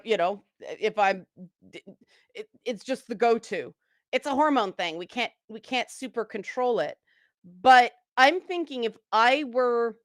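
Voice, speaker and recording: A woman's voice: on the high side, no room sound, clean studio sound. A woman speaking as a guest on a casual panel discussion, frank and opinionated.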